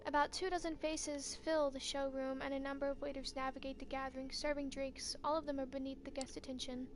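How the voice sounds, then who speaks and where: A woman's voice: high voice, flat delivery, fairly quick. A woman reading a story game's text aloud in second person, making light asides now and then. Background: loud game music.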